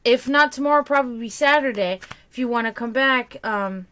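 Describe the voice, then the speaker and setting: A woman's voice: on the high side, normal speed, pushed and bright, a little hiss or hum. A woman in casual livestream chat, giving tarot readings, rambling and laughing often.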